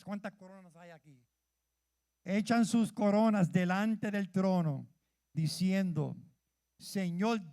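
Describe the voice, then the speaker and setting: Fervent high-voiced man preaching. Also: creaky, darkish tone. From Puerto Rico. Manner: fervent, calls out questions to the congregation, stumbles over words. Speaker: church leader preaching. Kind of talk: sermon.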